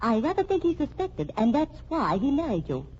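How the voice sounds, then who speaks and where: A woman's voice: sits high, sing-song, moderate pace, a little mellow, slight background noise. An actress playing a shrewd, sardonic female investigator in a mystery radio drama, with pointed, wry delivery. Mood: accusing. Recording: dull, band-limited old radio recording.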